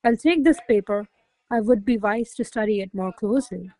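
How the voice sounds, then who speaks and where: A woman's voice: mid-range voice, moderate pace, warm tone, no room sound, lightly acted, poor audio. A woman playing a proud, shrewd countess in a classical radio play, speaking formal verse with a commanding, theatrical manner. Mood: coolly teasing. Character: proud, shrewd noblewoman teasing her secretary. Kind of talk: verse stage comedy, recited as radio play.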